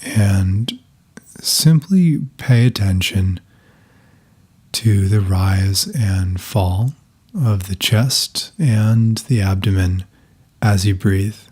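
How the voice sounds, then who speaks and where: Male meditation guide: lowish, husky and breathy, calm and soft, long pauses between phrases.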